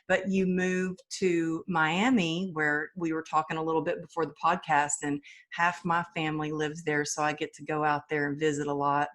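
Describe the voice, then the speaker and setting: A woman's voice: lowish voice, fairly bright tone. A woman hosting a podcast interview, welcoming her guest with enthusiastic, effusive praise. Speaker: podcast host.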